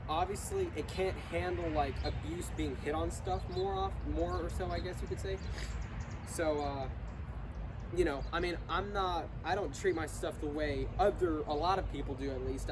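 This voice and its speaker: A man's voice: a little high, normal speed, background noise. A young man with a congested voice from illness or allergies, casually reviewing his lawn mower in a hesitant, rambling way full of fillers and restarts. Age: young adult.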